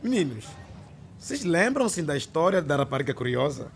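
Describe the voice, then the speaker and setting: A weary, authoritarian male teacher in a radio drama. His voice sits fairly high and swings widely in pitch as he lectures expressively. There is some noise behind him. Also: big pitch swings, normal speed. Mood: stern, instructive. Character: weary, authoritarian male schoolteacher, frustrated but open to change. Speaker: actor in a radio soap.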